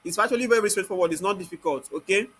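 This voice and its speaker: Nigerian man, software instructor, high-pitched, bright forceful tone, informal step-by-step teaching, question-and-answer habit. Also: very fast, breathy edge. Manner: constantly asks rhetorical questions and answers them. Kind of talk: informal step-by-step screen tutorial.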